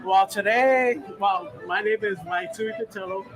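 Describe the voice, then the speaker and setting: A middle-aged man from Samoa, raised in Hawaii, talks through his cooking in a high, easygoing voice. He chats casually and laughs often. Music plays clearly in the background. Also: measured pace. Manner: relaxed, chatty, laughs easily. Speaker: chef. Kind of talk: casual live cooking demo. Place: outdoor boat show.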